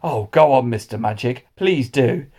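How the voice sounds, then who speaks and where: Man putting on an excitable, outspoken schoolchild. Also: mid-range voice, moderate pace, natural voice. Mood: eager.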